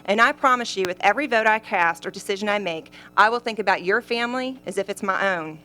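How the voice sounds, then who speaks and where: Earnest, bright-voiced Tennessee woman candidate. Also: mid-range voice, poor audio. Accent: Southern US, Tennessee. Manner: earnest, measured delivery. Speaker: county commission candidate. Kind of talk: prepared campaign closing statement. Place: county government meeting room.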